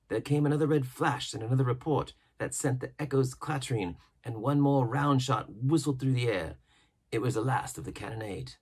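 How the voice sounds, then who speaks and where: Husky male audiobook narrator. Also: mid-range voice. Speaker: storybook narrator. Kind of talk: scripted narration, read-aloud of an adventure novel.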